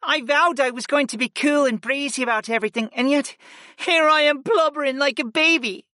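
A high, breaking voice of an affectionate young woman mage in tears, as a man puts her on, soft and pleading. It sits dry and close, with no room around it. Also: brisk, no echo. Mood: tearful. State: crying. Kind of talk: audiobook character voice.

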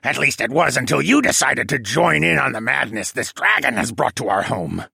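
A man's voice: medium pitch, expressive, moderate pace, gravelly, sharp tone, breathy edge, no echo, clean studio sound, pitched above the performer's own voice, full character voice. A man voicing a hateful, fanatical penguin-man assassin in an audiobook, with a squawking, hissing, sneering delivery. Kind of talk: acted audiobook dialogue.

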